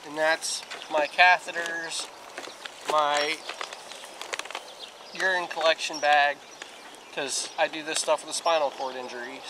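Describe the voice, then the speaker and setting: A man in his thirties talking casually and plainly, explaining things step by step for an outdoor vlog, recorded outside on a camera a few feet away. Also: fairly high pitch. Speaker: outdoor vlogger. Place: outdoors, grassy yard and lakeside. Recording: outdoor camera with clear background noise.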